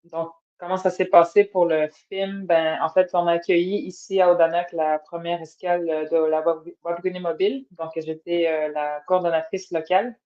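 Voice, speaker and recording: A woman's voice: lowish voice, steady tone, moderate pace, hardly any pauses, mellow. A woman from an Atikamekw community, a guest artist speaking casually and hesitantly on a podcast. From Atikamekw community in Quebec. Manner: hesitant, many fillers and restarts. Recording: dull lo-fi sound.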